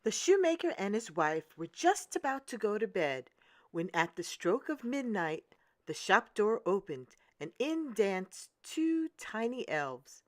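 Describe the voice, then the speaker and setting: Slow, expressive woman reading a storybook. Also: mid-range voice, dry recording. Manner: deliberate, expressive. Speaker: storyteller, children's read-aloud channel host. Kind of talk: scripted storybook narration for children.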